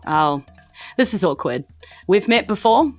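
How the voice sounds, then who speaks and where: A woman's voice: medium pitch, very animated, unhurried, faint music behind. A woman with a New Zealand accent playing an evasive, forgetful male cat-person thief in a tabletop game, blunt and awkward. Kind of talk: improvised tabletop roleplay.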